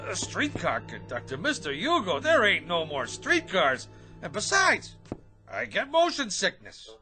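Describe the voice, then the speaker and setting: An actor playing an eager, pushy hack writer in a radio drama, stammering and excitable, full of streetwise slang. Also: male voice, high voice, a little slow, soft background music, exaggerated voice. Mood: pleading.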